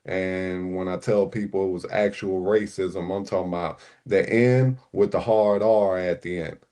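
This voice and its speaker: A low-voiced man from Puerto Rico, raised in Newark, chats in a relaxed way on a livestream. He fills his talk with "you know" and speaks as a regular on the panel. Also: measured pace. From Puerto Rico, raised in Newark, New Jersey. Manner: laid-back, many "you know" fillers. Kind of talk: casual livestream chat.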